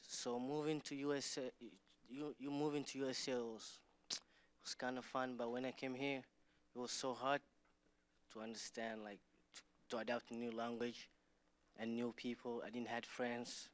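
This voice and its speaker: A teenage boy who grew up in Somalia and Kenya shares his story at a school meeting. He speaks English as a learner, in simple, halting sentences with restarts. His pitch stays flat. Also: smooth. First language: not English. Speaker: student speaker. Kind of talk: personal testimony.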